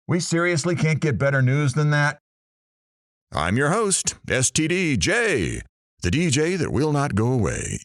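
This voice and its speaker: A man's voice: mid-range voice, no room sound, clean studio sound. A man playing a wisecracking radio DJ in an audio drama, joking and sarcastic. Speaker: radio talk show host.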